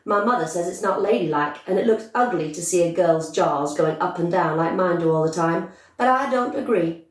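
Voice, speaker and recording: A woman voicing a brash, boastful, bossy young girl in a storybook, with loud, emphatic, excitable chatter. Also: fairly low pitch, moderate pace, close to an everyday voice. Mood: defiant. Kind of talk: storybook character voice. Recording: echoey room, distant microphone.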